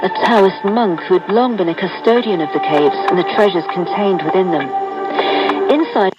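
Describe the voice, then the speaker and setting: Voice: mid-range voice, normal speed. A documentary narrator reading a scripted account, heard on a shortwave radio. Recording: muffled shortwave radio broadcast. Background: loud music.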